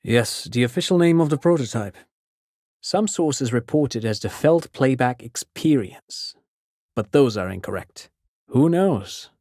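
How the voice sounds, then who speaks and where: A man's voice: medium pitch, lively intonation, unhurried, a little mellow, dry recording, clean studio sound. An actor playing an eager, polite intellectual father in a video game, erudite, genial and given to rambling over-explanation. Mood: eager lecturing. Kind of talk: video game character dialogue.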